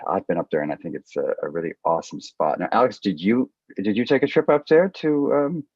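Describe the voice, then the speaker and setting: A man hosting a panel discussion over a video call, speaking haltingly and with appreciation for his guests. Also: deep voice, a little mellow, no echo, muffled recording. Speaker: host and moderator of a panel.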